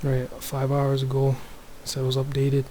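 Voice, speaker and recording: Brief: low, mellow-voiced man with a Toronto accent, flat delivery, hushed home chat with faint background noise. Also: normal speed, poor audio. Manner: speaks softly, many restarts and fillers. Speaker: religious video maker. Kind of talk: casual religious commentary, reading news aloud. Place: home room.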